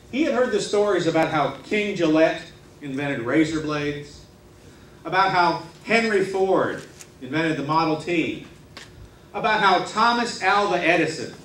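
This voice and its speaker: A man telling a comic tall tale on stage in a theatrical, mock-serious way with dramatic pauses. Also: a little high, fairly bright tone. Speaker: humorous contest speaker. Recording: roomy hall, distant mic, faint noise.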